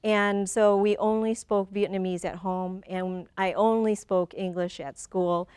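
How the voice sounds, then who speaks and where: A woman's voice: mid-range voice, fairly even intonation, unhurried, a little mellow, clear, resonant tone, no room sound. A woman in her forties who grew up in Michigan, a guest in a conversational interview, speaking thoughtfully, often repeating words as she gathers her thoughts and laughing easily. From Michigan, USA. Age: 40s.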